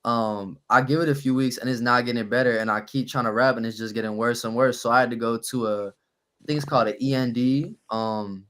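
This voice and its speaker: A young man from New Orleans with a raspy voice, a rapper telling stories as a guest on a podcast in an easy, relaxed way. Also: medium pitch, a little fast, a little hiss or hum. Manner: relaxed storytelling with fillers. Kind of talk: casual podcast interview.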